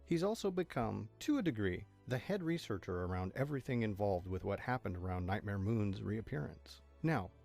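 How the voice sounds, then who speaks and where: A man's voice: lowish voice, animated, normal speed, creaky, few pauses, darkish tone, soft background music. A man playing an inquisitive, self-assured, suspicious pony in an audio drama. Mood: probing.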